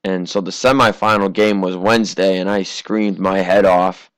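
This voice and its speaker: A teenage American man from Massachusetts giving casual, conversational amateur racing commentary, his voice slightly hoarse from a cough. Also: low-pitched, fairly even intonation, normal speed, dry recording, poor audio. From Massachusetts, United States. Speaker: race commentator.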